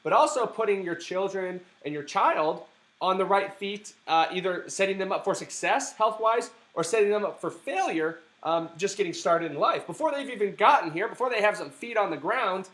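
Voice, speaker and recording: A man with a hoarse, sore throat hosting a casual live stream from a family home, talking with eager conviction and plenty of ums and restarts. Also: sits high, expressive, moderate pace, a bit bright. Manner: enthusiastic, preachy advocacy with many fillers and restarts.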